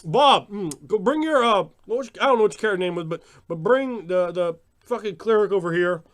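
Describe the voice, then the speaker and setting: A flustered gamer at the game table, mimicked by a man, whines for healing in halting everyday words. The voice is raised well up in pitch and sounds clumsy and out of character. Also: expressive. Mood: flustered, whiny. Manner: halting, flustered, mundane phrasing.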